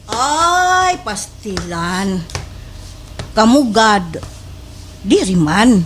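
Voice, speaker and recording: A woman's voice: medium pitch, sing-song, extremely slow, a little hiss or hum. An actress playing a scheming village woman who feigns saintly helpfulness in a slapstick radio drama, with a Waray accent and exaggerated delivery. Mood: sweetly pretending.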